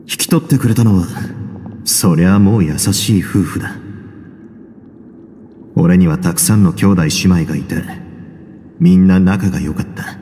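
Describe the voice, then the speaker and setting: A man playing a composed, hardened young man with a grim past in a game, speaking in a measured, matter-of-fact way. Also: low-pitched, dark tone, slight background noise. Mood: somber, reflective. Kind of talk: dramatic game dialogue, recounting his past.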